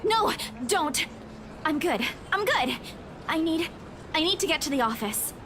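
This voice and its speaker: A woman's voice: very high-pitched, expressive, unhurried, fairly bright tone, a little hiss or hum, pitched above the performer's own voice, heavily acted. A woman voicing a terrified, shaken young woman pleading in a visual novel game, with music behind her. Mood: shaken, insistent. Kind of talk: visual novel character voice acting.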